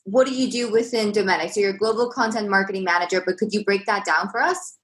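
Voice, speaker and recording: A woman hosts an interview, opening the show and putting upbeat, eager questions to her guest. Her voice sits at an ordinary middle pitch. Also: fast talker. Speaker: podcast host. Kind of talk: interview hosting.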